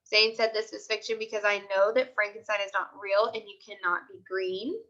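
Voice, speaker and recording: A woman's voice: mid-range voice, little pitch movement, fairly quick, bright, forceful tone, highs cut off. A woman teacher leading a live online class discussion, prompting with repeated questions and short commands.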